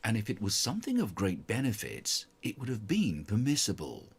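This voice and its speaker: A man's voice: on the low side, wildly swooping pitch, normal speed, slightly rough. A man giving earnest, preaching religious narration. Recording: crowd noise behind.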